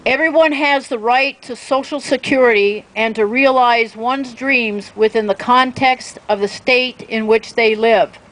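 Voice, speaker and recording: A woman's voice: a little high, measured pace, few pauses, fairly bright tone, low-quality recording. A woman reading and paraphrasing formal statements aloud at an outdoor public event. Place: outdoor town plaza. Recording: outdoor event with street traffic noise.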